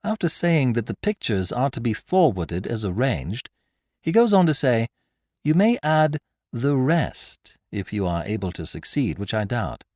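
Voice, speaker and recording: A man voicing an excitable young male amateur detective, brash and mocking as he shows off his discovery. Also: medium pitch, unhurried, no echo, clean studio sound. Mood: explaining.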